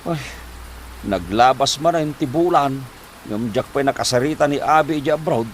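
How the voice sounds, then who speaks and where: A man's voice: medium pitch, moderate pace, natural voice. A man acting a worried, debt-ridden husband in an Ilocano comedy drama, with an Ilocano accent and a flustered, stammering, sighing manner. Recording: noticeable background noise.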